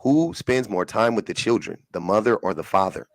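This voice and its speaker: A man's voice: on the low side, animated, normal speed, dry recording. A man running a live stream on a video call, blunt and pressing with his questions.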